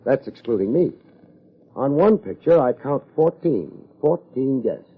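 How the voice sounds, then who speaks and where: An American actor playing a tough, wry private investigator in 1950s radio detective style, with a husky voice and clipped, sardonic delivery. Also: male voice, medium pitch, lively intonation, a little slow. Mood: hard, accusing. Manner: clipped, hard-boiled, sardonic. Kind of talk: 1950s radio detective acting and first-person narration. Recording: muffled vintage radio recording.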